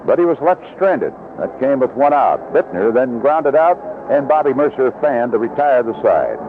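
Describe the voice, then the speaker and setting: Animated baseball announcer, man, on noisy old radio. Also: mid-range voice, normal speed. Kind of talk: live radio baseball commentary, 1970s. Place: outdoor ballpark broadcast booth. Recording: lo-fi vintage AM radio broadcast, heavy noise. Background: loud stadium noise.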